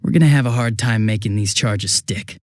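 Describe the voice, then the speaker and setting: A man voicing a young police detective in a video game, affable and easily flustered, with a casual, hesitant and excitable way of talking. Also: on the low side, brisk, no room sound, clean studio sound, natural voice. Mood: frank, serious. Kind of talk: English video game voice acting.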